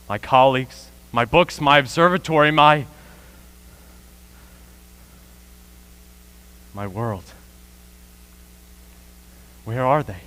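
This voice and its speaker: A man playing a haunted professor, lone survivor of an invasion, in a brooding, deliberate radio play monologue. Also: fairly high pitch, bright, forceful tone. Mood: anguished. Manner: brooding, deliberate, swelling into anguish.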